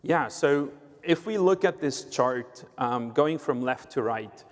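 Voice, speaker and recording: A man's voice: medium pitch, expressive, slow pace. Swiss-accented man speaking in a hall. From Switzerland. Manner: explanatory, uses filler "uh" and "right?". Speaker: sales executive, guest speaker. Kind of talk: conference panel talk. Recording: long reverb of a hall.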